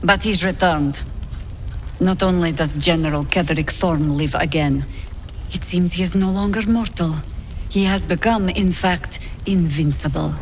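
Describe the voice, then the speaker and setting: Low-voiced, commanding veteran druid woman. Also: slight background noise. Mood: grave, resolute. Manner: measured, formal, authoritative. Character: shrewd, commanding veteran druid heroine, very old, wary. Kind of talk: fantasy video game dialogue.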